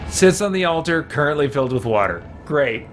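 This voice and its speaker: A man's voice: a little high, big pitch swings, brisk, soft background music. A man livestreaming a game in a casual way, musing aloud as he chats and reads game text. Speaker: game streamer.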